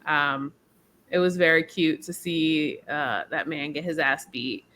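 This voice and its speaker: A woman co-hosting a wrestling podcast, giving measured, thoughtful opinions with frequent ums. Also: low voice, fairly bright tone, slight background noise. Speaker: podcast co-host. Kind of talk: casual podcast chat.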